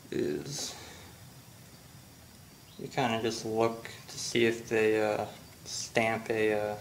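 A man casually explaining a motorcycle grip install while working in his garage, talking slowly with many fillers. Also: mid-range voice, vocal fry. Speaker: motorcycle vlogger. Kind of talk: casual how-to narration. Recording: echoey garage with faint ambient noise.

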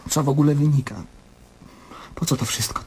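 A man's voice: on the high side, fairly even intonation, fairly quick, darkish tone, a little hiss or hum, lightly acted. An actor playing an anguished, searching male patient in a radio drama, with a plaintive, weary, questioning manner. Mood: despairing.

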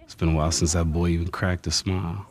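A man's voice: sits low, flat delivery, fast talker, darkish tone. A man acting a wary, protective young American survivor in video game dialogue, with a streetwise, plain-spoken manner. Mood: defensive.

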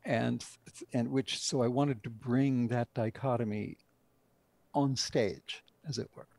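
A man's voice: mid-range voice, steady tone, slow, vocal fry, darkish tone, no room sound. An older man speaking as a writer and director in an interview over a group video call. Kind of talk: interview discussion of a radio drama.

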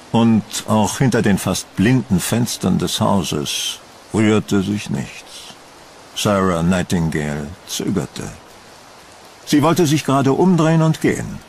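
A man narrating a scripted horror audio drama in a measured, solemn storytelling manner. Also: fairly low pitch, slight background noise.

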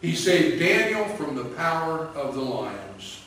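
A man's voice: a little high, sing-song, a little slow, a bit bright, heavy reverb, recorded from a distance, low-quality recording. A man voicing an anxious, commanding ancient king in a children's Bible story. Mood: proclaiming.

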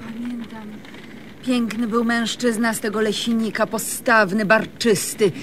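A woman's voice: fairly high pitch, little pitch movement, measured pace, music in the background, natural voice. A woman playing a gossipy older mother in a comic radio play. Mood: admiring. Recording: noisy old radio recording.

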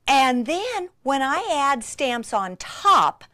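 A woman giving a hands-on craft tutorial in a gushing, easily excited way, with breathy exclamations. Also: high voice, slow, no room sound, clean studio sound. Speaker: craft demonstrator.

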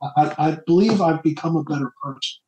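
A middle-aged man from New Jersey, an author guest on a casual podcast, talking in a halting way with many stammered repeats. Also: a little high, warm tone, slight background noise. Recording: echoey room.

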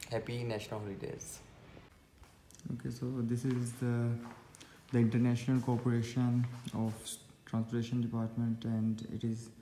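A man's voice: mid-range voice, darkish tone. Pakistani man vlogging in an echoey room. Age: 20s. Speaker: student vlogger. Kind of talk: casual vlog narration and interviewing. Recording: echoey rooms, faint background noise.

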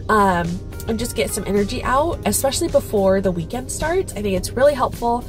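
A woman's voice: a little high, expressive, a little fast, music in the background. A woman in her thirties, stuffy and congested, chatting casually to her phone camera from her car and kitchen with lots of ums and little laughs. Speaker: vlogger. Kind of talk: casual vlog chat. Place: parked car, then home kitchen. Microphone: phone camera at arm's length.

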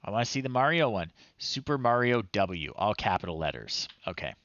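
A man's voice: fairly low pitch, very animated, a little fast, fairly bright tone, no room sound, dull audio. A man in his thirties giving casual livestream commentary, thinking aloud and muttering in short phrases as he goes. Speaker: livestream host. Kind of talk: casual livestream commentary while trying old software. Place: small room indoors at home.

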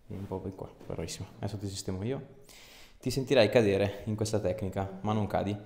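A man's voice: lowish voice, brisk, darkish tone. A man, a chiropractor coaxing a patient with short, encouraging instructions. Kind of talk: hands-on instructions and casual explanation to a patient. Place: small clinic treatment room. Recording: echoey room, clear background noise.

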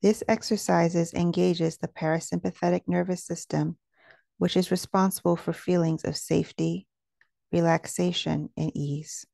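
A woman with a soft-edged, mellow voice sits slightly low in pitch, with a touch of creak. She guides a meditation in measured, soothing phrases, recorded very dry and close. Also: flat delivery, dry recording. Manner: slow, soothing, measured instruction.

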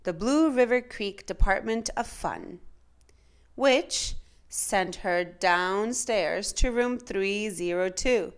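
A woman reading a children's picture book aloud in a dramatic, measured, expressive way. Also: mid-range voice, clear, resonant tone. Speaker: children's storytime reader.

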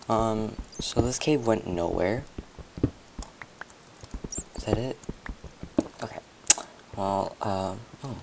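A hoarse young man talks his way through a video game, rambling and chatting to viewers as he plays. His voice has a gravelly, croaky edge. The recording carries a clear hiss of noise underneath. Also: medium pitch. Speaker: gameplay commentator. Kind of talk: casual gameplay commentary.

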